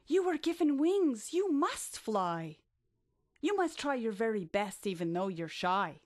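A woman's voice: a little high, big pitch swings, unhurried, no room sound, studio quality. A woman voicing a caring, bossy female snail who urges her friend on emphatically in a children's storybook. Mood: loving, urging. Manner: emphatic, urging.

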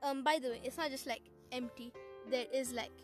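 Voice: high voice, steady tone, fairly quick, over music. A young-sounding female vlog host, explaining and instructing in a casual travel-vlog style.

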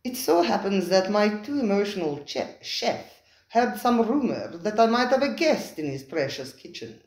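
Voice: medium pitch, very animated, moderate pace, a little mellow, roomy sound, voice pushed up. Reader doing a purring sly restaurant owner. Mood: silky. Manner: purring, silky, falsely genial.

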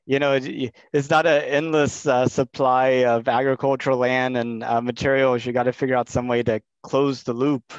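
A man's voice: medium pitch, little pitch movement, normal speed, few pauses, clean tone, dry recording. A man in his thirties from Texas, hosting a video call and asking long, rambling questions full of fillers. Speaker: host. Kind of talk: casual video call interview. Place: small room indoors. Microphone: headset. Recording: dull lo-fi call audio.

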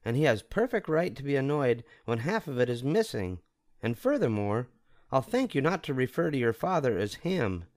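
A man's voice: medium pitch, sing-song, darkish tone, pitched above the performer's own voice, close to an everyday voice. A man voicing a strict, scolding mother bear in a storybook reading. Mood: stern. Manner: slow, firm scolding. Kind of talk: storybook read-aloud.

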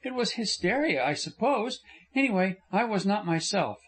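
A man's voice: high voice, sing-song, normal speed, fairly bright tone, slightly rough, pitched above the performer's own voice, exaggerated voice. A man voicing a spirited, frank young woman who speaks earnestly and with passion. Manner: earnest, impassioned.

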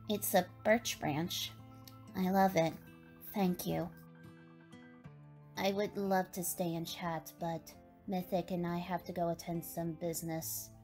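A woman playing a calm, reserved young pony squire in an animated series, formal and understated. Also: fairly low pitch, slow, long pauses. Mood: quietly pleased. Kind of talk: animated fan series voice acting. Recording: music behind the voice.

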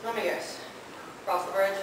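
A woman's voice: medium pitch, steady tone, moderate pace, lightly acted. A woman playing a dry, exasperated superheroine goat sister in a live comic radio play. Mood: wry. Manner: dry, sardonic. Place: large convention meeting room, on stage. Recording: echoey, noisy convention hall from far off.

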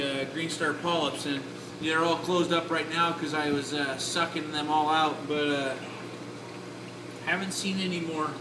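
A man with a fairly high voice talks off the cuff while filming his home reef tanks, stopping often to fill gaps with "uh". He records on a handheld camera, and a clear noise sits under his voice in the recording, with an air conditioner humming behind him. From Oregon. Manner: rambling, lots of "uh" fillers. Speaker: reef aquarium hobbyist. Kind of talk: casual walkthrough vlog. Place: home reef tank setup, indoors. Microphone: handheld camera, off-screen.

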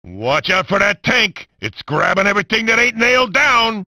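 Bombastic video game combat commentator, wisecracking, gleefully violent, bright forceful tone, gravelly fry. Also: male voice, high voice, sing-song, moderate pace, no echo, clean studio sound, heavily acted. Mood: excited, bellowing. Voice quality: gravelly, raspy. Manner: hammy, wisecracking, often bellowing. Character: bombastic, gleefully violent male arena combat sports announcer. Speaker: game announcer. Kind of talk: over-the-top sports commentary for a video game.

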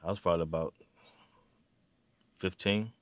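A man, from Virginia, talking as an interview guest in a halting way full of "um"s and restarts. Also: sits low, steady tone, dry recording, studio quality. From Virginia, USA. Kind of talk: casual interview. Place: small studio room.

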